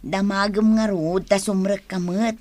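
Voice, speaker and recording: A woman's voice: medium pitch, fairly even intonation, measured pace, lightly acted. A woman playing a gossipy, envious village wife in a radio drama, speaking with an Ilocano accent in a prodding, exclamatory way. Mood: nagging.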